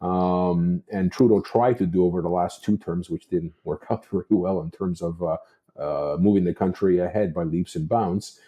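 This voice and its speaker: Dark, low-voiced Canadian man. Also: fairly even intonation, normal speed, husky, muffled recording. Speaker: talk show host. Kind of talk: unscripted political commentary.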